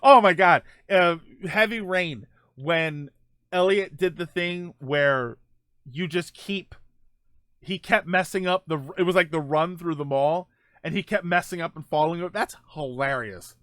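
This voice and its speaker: A man with a slightly high voice, recorded close and dry. He hosts a gaming show in a casual, conversational style, rambling a little as he thinks aloud and answers viewer comments. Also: measured pace, fairly bright tone, no room sound. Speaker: host of a gaming show, streamer.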